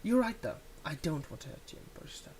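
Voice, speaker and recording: A performer playing a theatrical supervillain, menacing yet exasperated and softhearted, in a one-sided ASMR roleplay spoken straight to the listener. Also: mid-range voice, big pitch swings, fast talker, slight background noise. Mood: reluctant, grave. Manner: dramatic, swinging between threats and hushed soothing.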